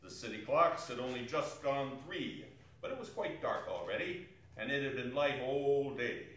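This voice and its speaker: A man giving a theatrical dramatic reading of a classic story, declaiming and relishing drawn-out words. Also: mid-range voice, animated, fairly bright tone. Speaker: stage reader in a theatre company. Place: arts center performance space, distant camera. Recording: echoey hall from far off, faint noise.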